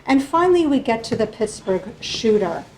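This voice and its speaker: A woman's voice: on the high side, very animated, normal speed, noisy background. A woman speaking as a panelist, giving an academic lecture with slides in an explanatory way, often checking in with the audience.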